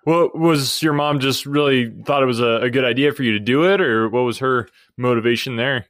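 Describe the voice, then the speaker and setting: A man hosting a casual interview, relaxed and quick to laugh as he asks questions. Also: medium pitch, no room sound, clean studio sound. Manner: relaxed and friendly, laughs easily.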